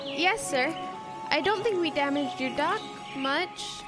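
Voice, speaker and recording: A woman's voice: high-pitched, animated, slow pace, music in the background. A young female actor playing an anxious, polite child sailor in an audio drama. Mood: timid politeness. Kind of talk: youth audio drama acting.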